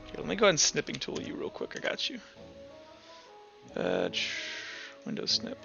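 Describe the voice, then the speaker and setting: A man's voice: a little high, wildly swooping pitch, normal speed, vocal fry, sharp tone, lo-fi sound. A man running a tabletop roleplaying game, narrating off the cuff in an informal, thinking-aloud way. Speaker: game master. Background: background music.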